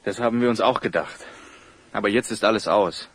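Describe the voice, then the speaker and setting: An actor playing a flustered, honest young village sprinter in a German audio drama, halting and nervous. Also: male voice, on the low side, dry recording. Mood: despairing, anxious.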